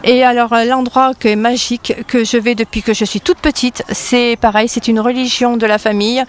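A woman's voice: on the high side, little pitch movement, fairly quick. A woman in her fifties, interviewed on local radio, talking eagerly and rambling with many fillers. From France. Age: 50s. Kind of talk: casual interview.

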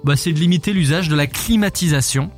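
A man with a southern French accent, co-hosting a morning radio show in a jokey, animated way. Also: on the high side, a little fast, soft background music.

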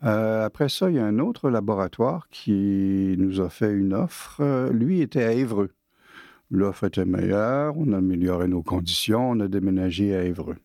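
A man in his late sixties from Quebec, with a Québécois French accent, telling his own life story casually with many pauses and fillers. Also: lowish voice, lively intonation, mellow, no echo, studio quality. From Quebec, Canada. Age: 60s. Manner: hesitant, many fillers and restarts. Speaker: interviewee.

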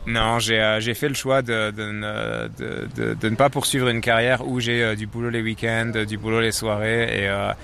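A man's voice: on the low side, flat delivery, normal speed, creaky, few pauses, bright, forceful tone, a little hiss or hum. A man from France with a French accent, answering casually and in an explanatory way as an interview guest. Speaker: interview guest, office professional working from home.

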